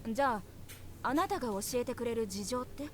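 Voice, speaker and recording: A woman's voice: fairly high pitch, lively intonation, racing, a little hiss or hum, natural voice. An actress playing an inquisitive, insistent young woman in a visual novel game. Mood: questioning. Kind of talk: Japanese visual novel voice acting.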